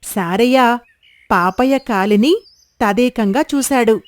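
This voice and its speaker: A woman's voice: a little high, takes it slowly, dry recording. A woman narrating a moral folk tale in a scripted storybook style.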